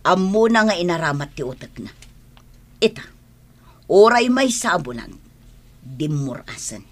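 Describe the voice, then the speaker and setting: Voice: medium pitch, sing-song, a little slow. An actor with an Ilocano accent playing a plain-spoken, moralizing older villager in a radio drama.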